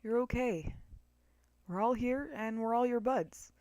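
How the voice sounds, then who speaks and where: A woman's voice: fairly high pitch, measured pace, mellow, dry recording, voice pushed up, close to an everyday voice. A woman voicing a laid-back, joking male skeleton with a protective big-brother streak, in a casual, slangy dramatic reading. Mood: reassuring. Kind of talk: dramatic fan-fiction reading.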